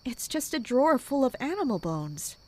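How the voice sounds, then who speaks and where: A woman playing a composed, wry captain of mercenaries, narrating and talking in a measured, matter-of-fact way in an audio drama. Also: sits high, big pitch swings. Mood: grim, focused. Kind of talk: first-person narration and dialogue in an audio drama.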